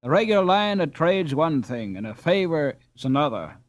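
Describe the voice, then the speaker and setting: A man playing a folksy, unhurried old-time boat skipper. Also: a little high, sing-song, no echo. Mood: bashful, sly. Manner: halting, folksy, rustic speech. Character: gruff pipe-smoking Dutch boat skipper, a father, unhurried.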